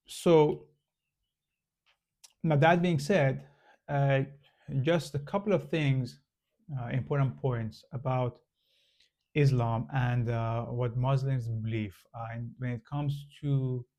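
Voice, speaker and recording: A man's voice: medium pitch, warm tone. Hesitant man with a Persian accent. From Iran. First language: Farsi. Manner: hesitant, many fillers and restarts. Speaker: channel host. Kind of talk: casual explanatory monologue.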